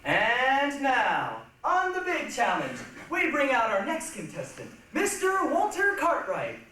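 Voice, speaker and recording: A man playing a brisk game show host with declamatory announcer patter on stage. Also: very high-pitched, wildly swooping pitch, bright, forceful tone, heavily acted. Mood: showy, challenging. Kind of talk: stage readers' theatre acting. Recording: echoey hall, distant mic, faint noise.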